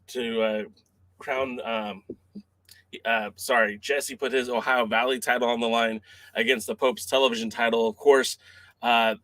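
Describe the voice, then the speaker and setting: A man's voice: mid-range voice, bright, forceful tone, dry recording. A man hosting a wrestling news podcast who is losing his voice, talking casually with many fillers and restarts. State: losing his voice, clearing throat. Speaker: podcast host.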